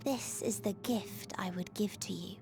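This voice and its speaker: A woman voicing a melancholy, otherworldly girl in a game, solemn, soft and deliberate. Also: medium pitch, creaky, music in the background. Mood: sorrowful conviction. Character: melancholy otherworldly girl preaching salvation through nonexistence.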